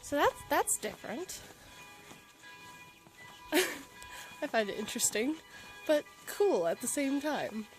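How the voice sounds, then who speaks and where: Young woman from Alaska, casual travel vlogger, slightly high voice, earnest, laughs a lot, breathy asides. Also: big pitch swings, takes it slowly, long pauses, faint music behind, low-quality recording. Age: young adult. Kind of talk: casual vlog talk to camera. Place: outdoors in a mountain town.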